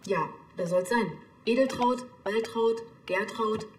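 A woman's voice: fairly high pitch, takes it slowly, roomy sound, a little hiss or hum, low-quality recording. An actress playing a no-nonsense policewoman in a TV crime comedy, with a matter-of-fact delivery.

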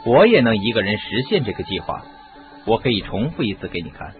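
A man's voice: mid-range voice, wildly swooping pitch, a little fast, soft background music. An actor playing a confident, persuasive male sleuth in a radio drama. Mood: assured. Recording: muffled phone-like radio recording.

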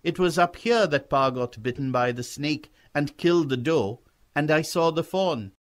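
A man's voice: a little high, moderate pace, pitched above the performer's own voice, lightly acted. A man voicing an eager, earnest country boy who pleads politely with a lilt in an audiobook story. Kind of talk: acted audiobook dialogue.

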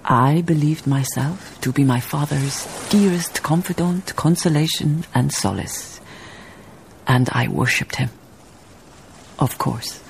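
An actress in a radio drama playing a reflective, melancholy Danish baroness narrating her life in a slow, musing, literary manner, husky and breathy. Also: sits low, a little hiss or hum, heavily acted, poor audio. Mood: wistful.

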